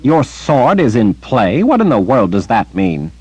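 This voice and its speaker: A man voicing an older woman, a stern, sharp-tongued sorceress with a scolding, clipped manner. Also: mid-range voice, very animated, a little slow, husky, no room sound, voice pushed up.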